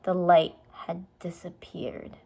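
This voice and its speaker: A woman's voice: on the low side, flat delivery, takes it slowly, warm tone. A woman reading a children's storybook aloud, in simple, explanatory style for young listeners.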